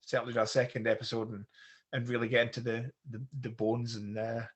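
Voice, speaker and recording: A man hosting a podcast, slightly croaky from a cold, talking hesitantly with many pauses. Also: medium pitch, monotone. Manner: hesitant, many ums and restarts. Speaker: podcast host. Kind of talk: casual conversation.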